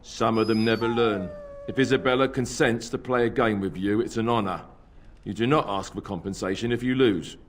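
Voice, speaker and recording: A man's voice: lowish voice, brisk, a little hiss or hum, natural voice. A man playing a plain-spoken, admiring character in a fantasy video game.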